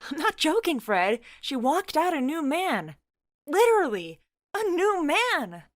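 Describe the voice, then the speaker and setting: A woman performs a young woman in a visual novel story, playful and excitable, the loyal best friend who teases. Her voice jumps high and expressive, and it is recorded very dry. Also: unhurried, no echo. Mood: insistent, amused.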